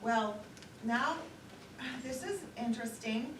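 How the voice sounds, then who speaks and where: A woman's voice: fairly high pitch, animated, takes it slowly. A woman acting in a live one-act play as a nervous, self-conscious woman rambling through an awkward date. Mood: flustered, musing. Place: lakeside theater stage, recorded from afar. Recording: distant, echoey, noisy live theater recording.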